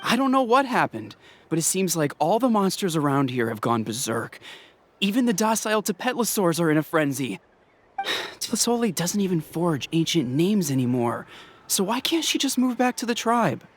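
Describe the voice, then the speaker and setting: A man's voice: high voice, sing-song, normal speed, slight background noise. A man playing a flustered, worried villager in a video game, with a fretful, hesitant delivery.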